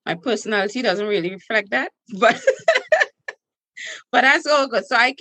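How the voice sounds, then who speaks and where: A woman's voice: fairly high pitch, moderate pace, pushed and bright, no room sound. A woman in her forties with a Trinidadian accent, a podcast guest talking in a brash, playful, boastful way. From Trinidad and Tobago. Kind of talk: podcast interview.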